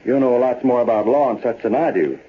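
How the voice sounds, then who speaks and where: An actor playing a good-natured, trusting Western miner and husband, plain-spoken and easygoing, with an American accent, in old radio-western style. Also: male voice, medium pitch, a little fast, natural voice. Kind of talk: 1930s-40s radio western acting. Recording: tinny, hissy old-time radio recording.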